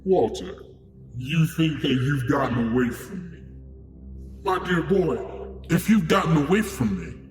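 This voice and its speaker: A man's voice: on the high side, expressive, a little slow. A man voicing a menacing supernatural ruler, taunting and condescending, with a theatrical delivery and an echoing effect. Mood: booming taunt. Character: menacing male Stranger, mocking ruler of a supernatural realm. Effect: heavy echoing reverb. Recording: echoing long reverb over music.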